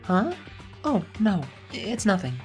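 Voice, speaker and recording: A woman's voice: medium pitch, sing-song, slow pace, far from the mic, faint music behind. A woman voicing an earnest, uneasy teenage boy student in a pensive, hesitant inner monologue for an anime game fan dub. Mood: uneasy, tense.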